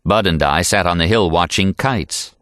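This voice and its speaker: A man's voice: deep voice, normal speed, fairly bright tone, no room sound, studio quality. An American man reading a literary novel aloud as an audiobook narrator.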